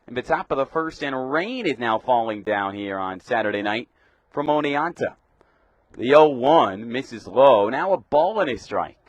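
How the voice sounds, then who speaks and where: A man's voice: medium pitch, fairly bright tone, dry recording. A young man doing live radio baseball play-by-play commentary, describing the action in short clipped phrases. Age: young adult.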